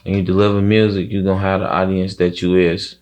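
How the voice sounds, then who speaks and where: A man's voice: low-pitched, level tone, fast talker, darkish tone, highs cut off. A young man with a Chicago accent talking casually as an interviewed rapper, rambling with plenty of slang and swearing. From Chicago, USA.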